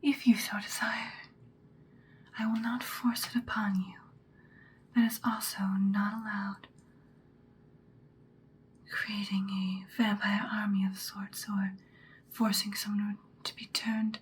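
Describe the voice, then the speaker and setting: An ancient vampire slayer played by a woman whispers in a breathy, seductive voice for an ASMR roleplay. She takes her time over every phrase, deliberate and stern with a threat underneath. Faint noise sits behind the close whispering. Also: medium pitch, natural voice.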